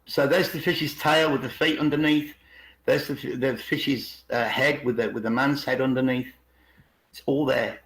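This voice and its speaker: A man's voice: medium pitch, fairly even intonation, moderate pace, a bit bright. Echoey man presenting with rhetorical questions. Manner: rhetorical questions, emphatic repetition. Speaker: video channel host. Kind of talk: informal presentation with commentary. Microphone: video call. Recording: echoey video call audio.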